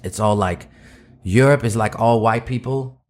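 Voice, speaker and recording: Laid-back lower-voiced man, native Dutch speaker. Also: a little slow, a little mellow. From Netherlands. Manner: laid-back, rambling storytelling with many fillers. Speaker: podcast guest, rapper. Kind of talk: casual interview chat.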